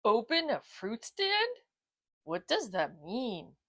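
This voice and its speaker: A woman's voice: on the high side, huge pitch swings, slow, bright, forceful tone, dry recording, clean studio sound, heavily acted. A woman voicing a lazy, skeptical male bulldog in a children's storybook, with a sluggish, drawling delivery. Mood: curious. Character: lazy, bored, slow-talking male bulldog, laid-back and skeptical.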